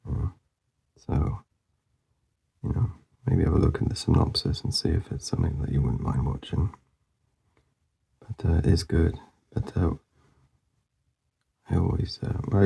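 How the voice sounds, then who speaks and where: A man with a very deep voice gives loose, rambling commentary while he draws. He mumbles softly and often sinks into a near whisper, and his voice is wearing out. The tone is very gravelly and quite dark, with a breathy, husky edge. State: losing his voice. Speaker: artist demonstrating a drawing. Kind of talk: casual drawing commentary.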